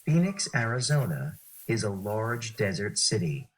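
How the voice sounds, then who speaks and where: A man's voice: lowish voice, sing-song, unhurried, slightly rough, rough recording. A man reading short photo captions as scripted narration.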